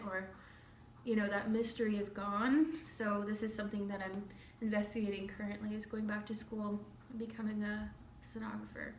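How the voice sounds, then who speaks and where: A young woman with a slight cold, chatting casually to camera in a loose, rambling way with many fillers. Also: mid-range voice. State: has a slight cold, clears her throat. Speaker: vlogger sharing her recovery. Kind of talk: casual talking-to-camera update. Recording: echoey room with faint hum.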